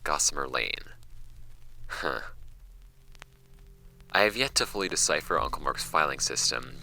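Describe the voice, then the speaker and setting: A man playing a skeptical archivist in an audio drama, reading case files aloud in a creaky voice. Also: expressive, a little slow, sharp tone, exaggerated voice. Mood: dry, wry. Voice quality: creaky, very deep. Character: dry, skeptical male archivist recording case notes. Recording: music bed under the voice.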